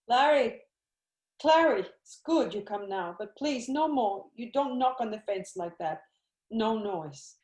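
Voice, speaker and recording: A woman's voice: a little high, expressive, unhurried, a little mellow. An actress playing a desperate Italian immigrant mother with an Italian accent, speaking broken English. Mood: anxious. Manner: broken English, pleading then raging. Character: grieving immigrant mother, older woman, desperate and fierce. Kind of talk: broadcast drama acting. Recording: roomy echoey recording.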